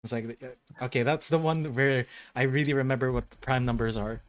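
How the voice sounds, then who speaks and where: A man's voice: mid-range voice, steady tone, normal speed, dry recording. A man hosting a casual gaming stream chat. He rambles, corrects himself mid-sentence and laughs easily. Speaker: host of a gaming channel.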